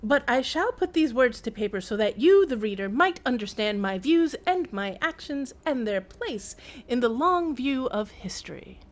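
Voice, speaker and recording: A woman's voice: fairly high pitch, big pitch swings, normal speed. Pompous old imperial chancellor, read by a woman. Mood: self-important. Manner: pompous, declamatory. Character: pompous old male imperial chancellor reading his journal. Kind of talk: dramatic read-aloud of in-game text.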